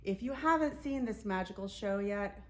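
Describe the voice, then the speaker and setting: A woman's voice: medium pitch, lively intonation, normal speed. A woman hosting a casual promotional interview, effusive and complimentary as she speaks. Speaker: interviewer and host for a community theater.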